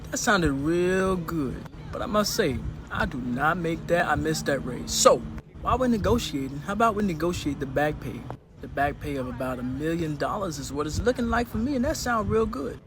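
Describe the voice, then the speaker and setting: A man's voice: on the high side, lively intonation, normal speed. A man doing a comic rant to camera in a playful, mock-demanding manner. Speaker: air traffic controller. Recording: noisy, street or vehicle sounds.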